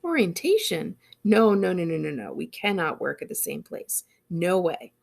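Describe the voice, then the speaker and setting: A woman reading aloud as a tense, defensive teenage girl telling her own story, with a dramatic, expressive delivery. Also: lowish voice, moderate pace, gravelly, heavily acted. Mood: flustered, indignant. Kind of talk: first-person audiobook reading.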